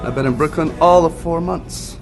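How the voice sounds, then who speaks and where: A man's voice: on the high side, normal speed, over music. A man from Atlanta, a band member, making brief, dry remarks in a casual interview. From Atlanta, Georgia.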